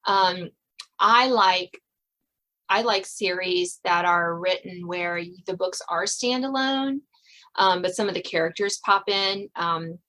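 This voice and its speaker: A woman's voice: fairly low pitch, slow pace, bright, forceful tone, poor audio. A woman hosting a podcast interview, talking in a chatty, laughing way with many fillers. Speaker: podcast host. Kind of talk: casual interview chat.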